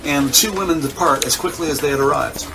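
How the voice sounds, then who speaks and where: A man's voice: on the high side, brisk, creaky, background noise. A man reading scripted dramatic narration for an audio drama.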